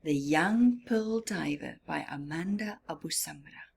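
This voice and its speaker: A woman hosting a children's story time, reading aloud and pausing to explain things simply and ask young listeners questions. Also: sits low, gravelly, a little mellow, husky.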